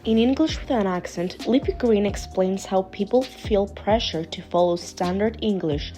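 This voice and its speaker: A young woman student reading a scripted narration in a measured way. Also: medium pitch, warm tone, faint music behind. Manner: measured, read aloud.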